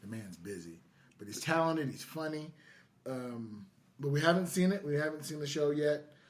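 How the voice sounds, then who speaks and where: A man's voice: fairly high pitch, lively intonation, normal speed. A man hosting a podcast, chatting casually. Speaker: podcast host.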